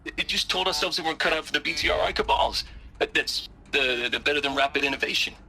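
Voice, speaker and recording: An actor playing a remorseful male scientist in a video game, stammering and halting as he speaks. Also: a little high, gravelly, pushed and bright, heavily acted. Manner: stammering, halting, full of restarts. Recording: game audio with faint music.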